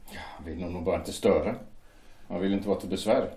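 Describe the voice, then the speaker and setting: A man's voice: deep voice, dark tone, far from the mic. A man playing a party-loyal brother, earnest, defensive, roomy echo. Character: shipyard foreman turned party loyalist, brother. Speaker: Erich, older brother. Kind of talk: amateur audio drama acting.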